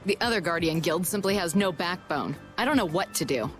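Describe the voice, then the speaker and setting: A woman playing a shrewd, confident merchant guild president in a video game, brisk and polished in delivery. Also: lowish voice, expressive, music in the background, close to an everyday voice. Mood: businesslike. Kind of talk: video game dub acting.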